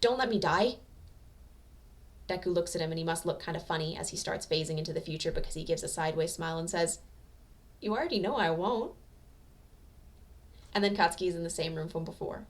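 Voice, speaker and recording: A woman's voice: sits low, flat delivery, very fast, hardly any pauses. A woman narrating fan fiction as an amateur audiobook reader, in a scripted storytelling style.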